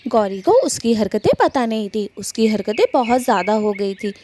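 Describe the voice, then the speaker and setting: Lively, high-voiced woman narrating a story. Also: normal speed, a little hiss or hum. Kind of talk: scripted children's story narration.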